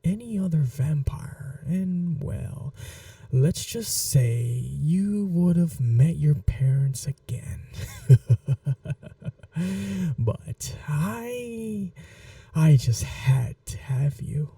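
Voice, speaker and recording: A man playing a possessive, seductive vampire in an intimate ASMR roleplay, speaking in a soft, breathy half-whisper with a languid, teasing, condescending manner. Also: fairly high pitch, expressive, drawn-out words, no room sound, distant mic, exaggerated voice. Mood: nostalgic, dark.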